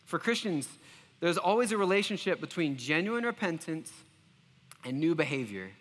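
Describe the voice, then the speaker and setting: A high-voiced man preaches with an earnest, self-deprecating manner, often stumbling into restarts. His tone is bright and forward, and a long reverb trails behind his words. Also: sing-song, normal speed. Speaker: pastor. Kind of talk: sermon.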